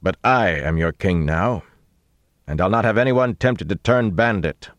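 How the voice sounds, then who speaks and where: A man voicing a firm, unyielding king in an audiobook, with a measured, authoritative delivery. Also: rumbling low, animated, breathy edge, dry recording, heavily acted. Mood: firm, commanding. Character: young male king, commanding, firm, unyielding.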